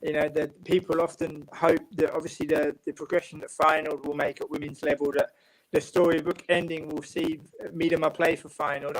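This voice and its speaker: A man from England, a football writer and guest, talking in a calm, measured way with long explanations. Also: on the high side, poor audio. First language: English. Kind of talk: casual panel chat.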